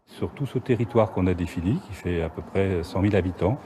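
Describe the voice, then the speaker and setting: A man's voice: sits low, fairly quick, crowd noise. A man in charge of health coordination, explaining spontaneously in an interview. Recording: noisy urban ambience.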